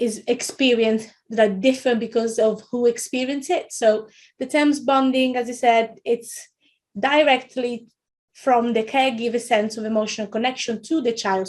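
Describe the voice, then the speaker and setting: Webinar presenter: woman, middle-aged, Italian accent, slightly high voice, informal teaching delivery with frequent rephrasing. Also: darkish tone. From Italy. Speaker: webinar presenter, early years educator. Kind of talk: informal educational webinar talk.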